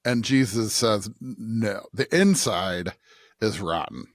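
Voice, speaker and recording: A man's voice: on the low side, lively intonation, slow pace, dry recording. Emphatic American man, dry podcast sound. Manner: emphatic, broken phrases with restarts. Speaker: podcast co-host discussing scripture. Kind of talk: casual conversational Bible discussion.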